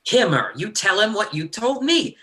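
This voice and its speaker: A man playing a cocky, bullying hustler in a play reading, taunting in a folksy, rough-edged way. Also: high-pitched, very animated, normal speed, a bit bright. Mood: pushy.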